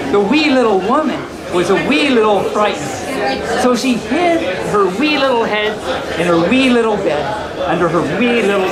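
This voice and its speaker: A playful storyteller reads children's bedtime tales aloud with lively swings in pitch. The delivery is live and a little stumbly, with asides, and a noisy crowd fills the large indoor space. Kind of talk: live children's story reading, told to an audience. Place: large indoor room at a convention. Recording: loud crowd noise in a public room.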